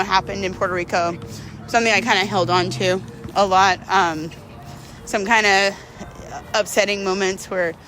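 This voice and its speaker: A woman's voice: mid-range voice, a bit bright, slight background noise. A woman telling a personal story in a casual, slangy, conversational vlog style, peppered with "like" and acting out bits of dialogue. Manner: conversational, slangy, filler-heavy "like". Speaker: storyteller vlogger.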